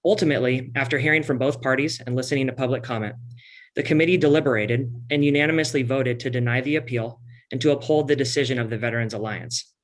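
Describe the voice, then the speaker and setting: Man, county staff, formal briefing, steady read-out tone. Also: medium pitch, quick pace, rough recording. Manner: steady, reading a summary.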